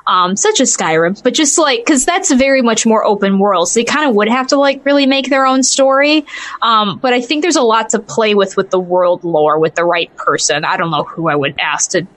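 Female podcast co-host, slightly high pitch, giggly, off-the-cuff chat. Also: moderate pace, a bit bright. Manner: giggly, often laughing as she talks. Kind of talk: casual chat about games and films.

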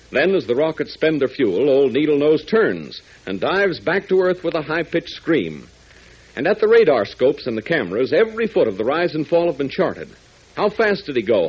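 Male radio announcer, husky, fairly high voice, dramatic serial narration, old lo-fi sound. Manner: dramatic, building excitement in countdowns. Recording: dull lo-fi 1940s radio transcription.

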